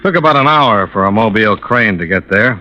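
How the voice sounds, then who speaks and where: An actor playing a hard-boiled American insurance investigator in a 1950s radio detective drama, clipped, matter-of-fact and wry. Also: male voice, on the low side, lively intonation, a little fast, loud music behind the voice, close to an everyday voice. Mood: dry, brisk. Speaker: narrator and lead detective. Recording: dull old radio broadcast recording.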